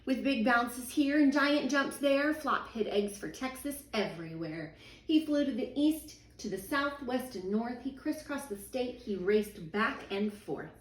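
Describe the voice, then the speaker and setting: A woman, a teacher in her thirties, reading a children's picture book aloud at home in a sing-song, expressive way. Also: on the high side, unhurried. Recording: echoey room, voice a little far from the mic.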